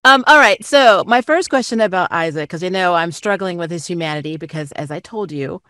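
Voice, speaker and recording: A woman's voice: lowish voice, animated, a little fast, fairly bright tone, no echo. A woman conducting an enthusiastic, effusive interview over a video call.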